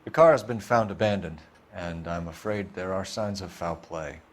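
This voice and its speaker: An actor playing a brisk, formal 1940s police detective in a game, businesslike and polite as he questions people. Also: low voice, a little hiss or hum, natural voice. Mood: grave, formal.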